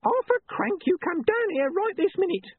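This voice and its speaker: A man voicing a bossy old wife, scolding shrilly as she shouts up from the street. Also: extremely high pitch, sing-song, moderate pace, no echo, narrow, tinny audio, pitched above the performer's own voice. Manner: bossy, nagging.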